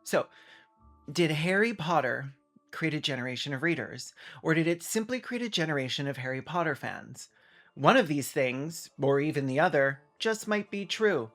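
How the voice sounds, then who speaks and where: A man with a slightly high voice presents a video essay over light music. His delivery is sardonic and conversational, tipping into mock drama as he comments. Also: lively intonation, moderate pace, a bit bright.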